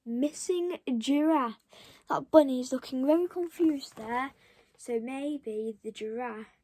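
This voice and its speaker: A young child reading a storybook aloud, stopping for halting, chatty asides to the listeners. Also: sits high, warm tone. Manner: halting, commenting on pictures to listeners. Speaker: storybook reader.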